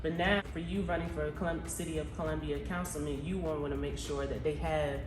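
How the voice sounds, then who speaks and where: Woman host, interviewer, conversational and affirming; lower voice, roomy sound. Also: steady tone, brisk, music in the background. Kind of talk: interview questions.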